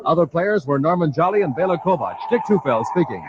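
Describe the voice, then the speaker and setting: A man's voice: fairly high pitch, sing-song, fairly quick. A male radio announcer with a dramatic, theatrical delivery in the style of a 1950s adventure serial. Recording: dull, noisy old radio transcription.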